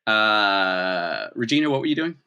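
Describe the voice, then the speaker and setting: A man's voice: lowish voice, expressive, a little slow, sharp tone, smooth. A man improvising narration as a tabletop game master, explaining things in a conversational way.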